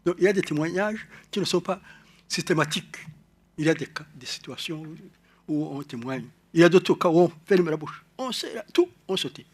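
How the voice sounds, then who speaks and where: A man from Rwanda with a Rwandan French accent, speaking to an audience in a halting, explanatory way, often repeating words and restarting. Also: fairly high pitch, expressive, husky. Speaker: conference speaker. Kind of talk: lecture-style talk to an audience.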